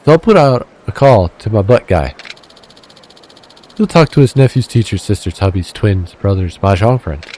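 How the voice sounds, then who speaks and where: A man's voice: fairly low pitch, animated, normal speed, dark tone, a little hiss or hum, lightly acted, rough recording. A man voicing a brash, wheeling-dealing male fixer with a shaky attempt at a Minnesotan accent, pushy and threatening on the phone. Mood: scheming.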